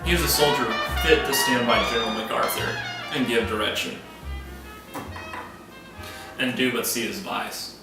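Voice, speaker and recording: An actor playing a scheming soldier who feigns honest concern, in declamatory Shakespearean stage style. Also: male voice, mid-range voice, wildly swooping pitch, moderate pace, long pauses. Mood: confiding, sly. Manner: theatrical, declamatory. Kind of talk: classical Shakespearean stage acting. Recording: echoey hall recording, music behind.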